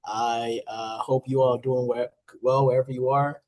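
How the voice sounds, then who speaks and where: A man's voice: medium pitch, level tone, unhurried, a little mellow, no echo. A teenage boy introducing himself on a video call, a bit shy and hesitant. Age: teens. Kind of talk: casual self-introduction.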